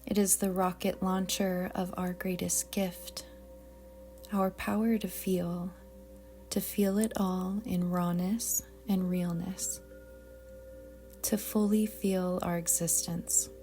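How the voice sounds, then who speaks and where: A woman guiding a yoga nidra meditation in a soft, breathy, calm and deliberate voice that often trails toward a whisper. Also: fairly low pitch, faint music behind. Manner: calm, soothing, gentle, deliberate. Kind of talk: slow guided meditation narration.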